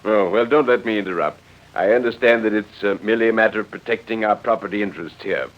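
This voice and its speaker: Man playing an assured businesslike gentleman, old radio. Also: lowish voice, quick pace, natural voice. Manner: pragmatic, confident, polite. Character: assured, influential, businesslike gentleman taking charge. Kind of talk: 1940s radio drama acting. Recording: dull old radio recording.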